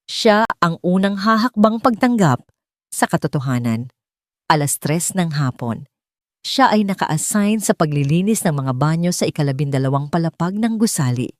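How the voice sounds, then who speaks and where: A woman narrates an audio drama with slow, dramatic pacing. Her voice sits lowish in pitch. The recording is close and dry, with no room sound. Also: animated, no room sound. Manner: slow, dramatic, measured storytelling.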